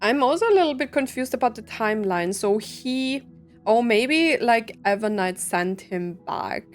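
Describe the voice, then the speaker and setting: A woman's voice: a little high, animated, unhurried. A woman giving casual gameplay commentary and reactions as a game streamer. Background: game music.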